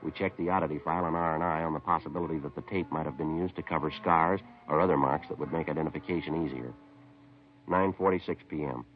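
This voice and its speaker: Very deep, deadpan police detective. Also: male voice, fast, soft background music. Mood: deadpan narrating. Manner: deadpan, clipped, businesslike. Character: matter-of-fact, patient male police detective. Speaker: lead actor and narrator of a police radio drama. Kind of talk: terse police procedural narration and dialogue, 1950s radio. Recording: dull vintage 1950s radio recording.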